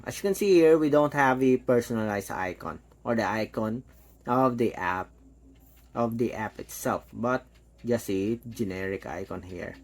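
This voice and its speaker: A man giving a casual, hands-on product demo, talking step by step in short, halting phrases. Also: on the low side. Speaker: product reviewer.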